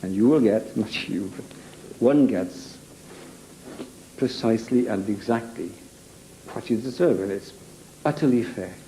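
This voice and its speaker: Male giving personal testimony: very slow, low, halting delivery, emphatic repeats, faint ambience behind. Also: warm tone, a little hiss or hum, rough recording. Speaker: interviewee giving a personal account.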